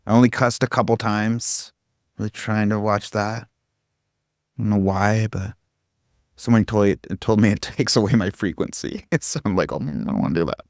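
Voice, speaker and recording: Man, lowish voice, meditation teacher, casual group talk, irreverent and self-deprecating, loose rambling delivery, dry close sound. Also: steady tone, normal speed, no echo. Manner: irreverent, casual, frequent swearing. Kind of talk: informal spiritual talk to a group.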